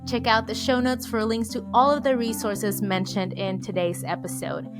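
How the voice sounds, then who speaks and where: A woman's voice: a little high, steady tone, moderate pace. A woman reading a scripted promotional podcast outro as an announcer. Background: music.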